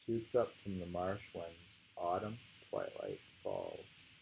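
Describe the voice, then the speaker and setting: A man reading poetry aloud and commenting on it informally, in a halting, musing way with pauses and hums. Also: sits low, big pitch swings, creaky, a little mellow, a little hiss or hum, rough recording. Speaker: reader and discussion host.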